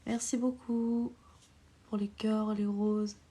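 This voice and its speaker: A woman with a cold giving a live makeup tutorial on a phone stream, hesitant with many filler pauses, sometimes dropping to a whisper while she works. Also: mid-range voice, little pitch movement, mellow, breathy edge. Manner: drops to a whisper while applying. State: has a cold. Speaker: makeup livestreamer.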